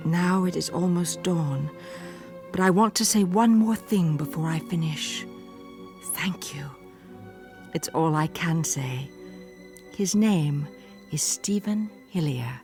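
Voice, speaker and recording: Radio drama countess: young, cool, aristocratic, quietly alluring, speaks with careful, genteel pauses. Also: female voice, on the low side, faint music behind. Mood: intimate, wistful.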